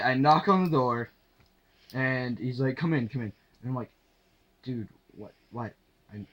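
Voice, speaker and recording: A young man telling a casual anecdote to camera in a halting, sweary way, acting out the dialogue as he goes. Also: on the high side, fairly bright tone. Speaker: storyteller.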